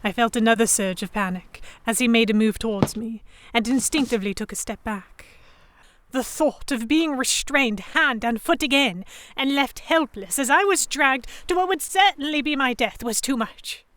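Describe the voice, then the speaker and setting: A woman playing a prim, anxious yet defiant young Victorian noblewoman, narrating a historical romance audio drama in a formal, expressive way. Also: fairly high pitch, moderate pace, no room sound, natural voice. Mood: frightened, defiant.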